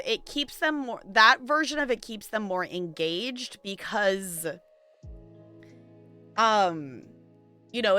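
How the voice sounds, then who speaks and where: Chatty girl streamer, woman w bright kinda high voice, rambly and giggly, bit of music in the back. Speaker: live game streamer. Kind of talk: casual chat with stream viewers.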